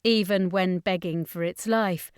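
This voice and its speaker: A woman's voice: on the low side, steady tone, measured pace, smooth, no echo, studio quality. A woman reading a horror fantasy story as scripted audiobook narration.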